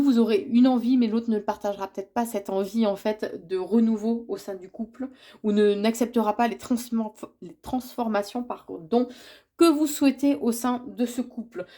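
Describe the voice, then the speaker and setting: A woman's voice: on the high side, warm tone. A woman with a cold giving a yearly horoscope reading, hesitant and full of fillers and restarts, relaying what she says she is told. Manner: speaks as if receiving messages. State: has a cold, congested. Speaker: tarot reader.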